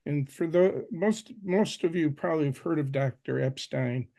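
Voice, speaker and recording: A man in his seventies hosting a patient panel, speaking in a slow, halting way. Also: fairly high pitch, vocal fry, mellow. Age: 70s. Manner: slow and halting, with restarts and fillers. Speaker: forum host. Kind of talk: moderating a video panel.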